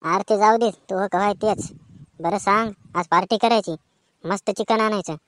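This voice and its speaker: A woman's voice: mid-range voice, normal speed, a bit bright, no echo. A woman with a rural Maharashtra accent performing colloquial village banter in comic cartoon style. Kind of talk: rustic comic cartoon dialogue.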